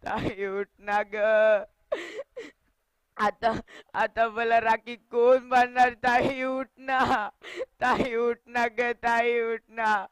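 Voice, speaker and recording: A bereft younger brother played by a woman pleads tearfully in a high voice. The delivery is slow and drawn out, a melodramatic stage monologue full of grief, heard dry and close. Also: flat delivery, clear, resonant tone, no room sound. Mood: tearful pleading. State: crying. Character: grieving orphaned younger brother pleading over dead sister.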